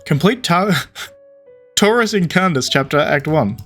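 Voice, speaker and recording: Male gaming host. Slightly high voice, loose, rambling, off-the-cuff chat over faint music. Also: animated, measured pace, fairly bright tone. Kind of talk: casual game playthrough commentary.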